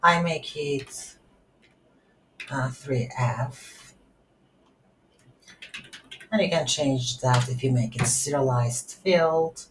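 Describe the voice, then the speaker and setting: Low-pitched, slow woman in a roomy space. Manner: halting, thinking aloud while typing. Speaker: tutorial instructor. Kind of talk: unscripted software tutorial narrated while coding. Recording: roomy echo, faint background noise.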